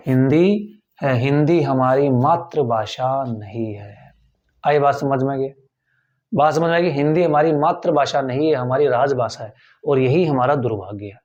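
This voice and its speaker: A man's voice: medium pitch, unhurried, darkish tone, muffled recording. A male teacher giving a tenth-grade civics lecture, repeating key points and asking the class rhetorical questions.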